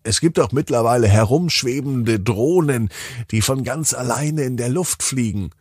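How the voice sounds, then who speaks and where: A man narrating a bedtime story for children in an expressive, playful way, drawing out words and pausing for effect. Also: mid-range voice, breathy edge, dry recording, clean studio sound. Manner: deliberate, playful, with drawn-out words and dramatic pauses.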